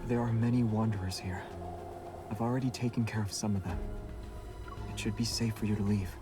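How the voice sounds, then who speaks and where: A man voicing a calm, mysterious young monster hunter in a video game, soft-spoken, terse and measured. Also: on the low side, music in the background, lightly acted. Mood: calm, guarded.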